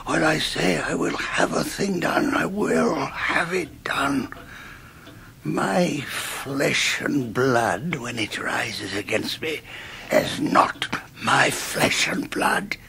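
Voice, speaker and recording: An English actor playing a tyrannical middle-aged headmaster in a radio drama, with a hoarse rasping whisper and a slow, threatening emphasis. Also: male voice, mid-range voice, pushed and bright, heavily acted. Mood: quietly menacing. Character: menacing, tyrannical middle-aged male boarding-school headmaster. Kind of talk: 1990s British radio drama acting.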